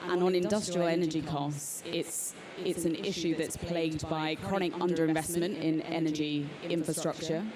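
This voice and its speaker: A woman speaking as a conference panelist in a measured, policy-focused way. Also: sits low, fairly even intonation. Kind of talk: panel discussion answer. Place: conference pavilion. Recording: noisy crowded event hall. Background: loud crowd chatter.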